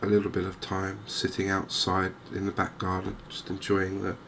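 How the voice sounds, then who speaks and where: A man with an English accent giving soft, gentle, unhurried cozy ASMR commentary on a video game as the channel host. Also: low-pitched, completely flat delivery, vocal fry, slight background noise. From England.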